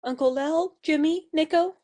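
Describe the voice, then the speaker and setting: An actress playing an imperious but warm-hearted young lady of the house, bossy and emphatic, in a satirical audio play. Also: sits high, moderate pace, close to an everyday voice.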